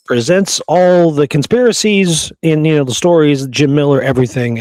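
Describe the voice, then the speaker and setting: A man with a mid-pitched voice talks history as a show co-host. His delivery is colloquial and opinionated, and the sound is dry, with no room around it. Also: a little mellow, no echo. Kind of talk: casual chat about Old West history.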